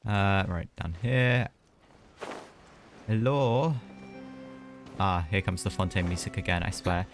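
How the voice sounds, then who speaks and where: Low-pitched casual game streamer. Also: takes it slowly, soft background music. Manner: chatty, sympathetic reactions to the story. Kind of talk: casual live gameplay commentary.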